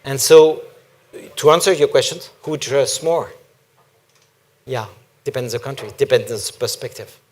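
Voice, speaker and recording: A man from France with a strong French accent, speaking deliberately as a panelist in a forum discussion. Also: mid-range voice, darkish tone. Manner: deliberate, emphatic, speaks slowly.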